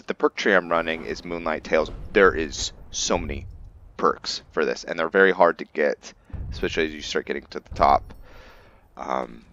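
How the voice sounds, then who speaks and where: A man's voice: extremely low pitch, fairly bright tone, lo-fi sound, a little hiss or hum. A man hosting a gaming video, giving casual roleplay commentary in a musing, thinking-aloud manner.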